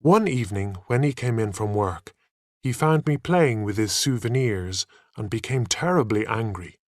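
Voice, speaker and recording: A man reading a story aloud in an expressive, deliberate narrating style. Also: lowish voice, dry recording, clean studio sound. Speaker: audiobook narrator.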